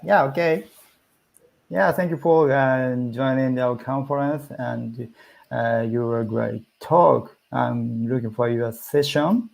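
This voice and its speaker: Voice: medium pitch, slow pace, creaky, darkish tone, low-quality recording. A conference host speaking hesitantly in short phrases while informally introducing a session online.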